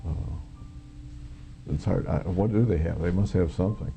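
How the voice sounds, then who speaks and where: A middle-aged American man giving personal testimony in an interview, plain-spoken and a little halting as he recollects. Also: sits low, gravelly, mellow, soft background music. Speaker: former military air traffic controller, witness.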